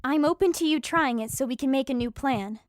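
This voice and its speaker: Woman playing a high-voiced, bold fighter. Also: a little fast, no echo, clean studio sound, close to an everyday voice. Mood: determined. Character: bold, practical female fighter, protective of friends. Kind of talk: fantasy audio drama acting.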